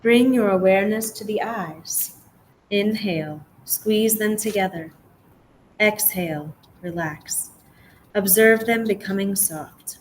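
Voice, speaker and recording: A woman leads a guided relaxation in a mid-pitched voice, speaking very slowly. She gives gentle, measured cues and leaves space between them. There is clear background noise in the recording. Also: poor audio. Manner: gentle, measured, instructional cueing with pauses. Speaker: yoga nidra guide.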